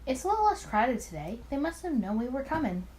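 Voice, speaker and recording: A woman's voice: sits high, sing-song, fairly quick, darkish tone, slight background noise, pitched above the performer's own voice. A woman voicing a cheerful, affectionate male detective partner in a visual novel game, with a playful, teasing manner.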